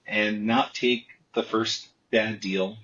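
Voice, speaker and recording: Man, comic artist guest, lowish voice, dull lo-fi remote audio, repeats words before getting going. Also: slow. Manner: repetitive restarts, animated storytelling. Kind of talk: casual interview talk.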